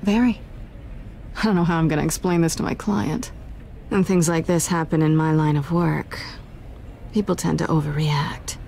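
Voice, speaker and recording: A woman playing a sly, flirtatious, self-assured thief in a video game, with a teasing, sultry, deliberate delivery. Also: low-pitched, steady tone, vocal fry, warm tone, a little hiss or hum. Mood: guarded, wry.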